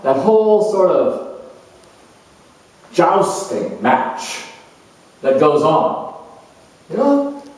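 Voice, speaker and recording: A man performs a charming, self-assured male politician musing aloud in a character monologue. His delivery is slow and halting, with emphatic swells. The recording is very echoey, with the voice set well back from the microphone. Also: fairly high pitch, darkish tone. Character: proud, charming, opinionated male career politician turned world judge. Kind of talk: dramatized first-person character monologue. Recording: roomy echo, distant microphone.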